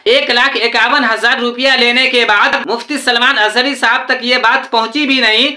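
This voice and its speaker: Bright, echoey woman narrator. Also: fairly high pitch, steady tone, moderate pace. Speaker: video presenter. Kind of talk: scripted explanatory narration. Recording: roomy echo on voice.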